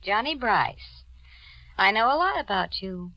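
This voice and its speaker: An actress playing a cool, murderous femme fatale in 1940s radio noir style, coaxing and insinuating. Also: female voice, medium pitch, sing-song, a little slow, bright, forceful tone, no echo, heavily acted, poor audio. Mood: sultry, inviting. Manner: coaxing, insinuating, coolly matter-of-fact. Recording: old 1940s radio recording.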